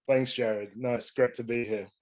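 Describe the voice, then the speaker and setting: A young man from Rwanda, a filmmaker guest speaking on a remote call, relaxed and hesitant with many fillers. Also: medium pitch, moderate pace. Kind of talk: interview. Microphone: remote call from London.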